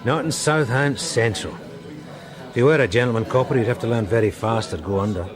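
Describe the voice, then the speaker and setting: An actor playing a cheery, down-to-earth detective sergeant in a radio drama, blokey and easygoing. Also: male voice, mid-range voice, a little fast, over music, close to an everyday voice. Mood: cocky, cheerful.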